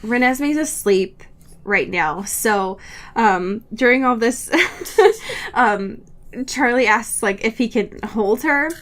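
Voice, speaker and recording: A woman's voice: fairly high pitch, slow. A woman co-hosting a podcast, casually recapping a book in a gushing, sweary way. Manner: gushing, sweary, often laughing. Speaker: podcast co-host.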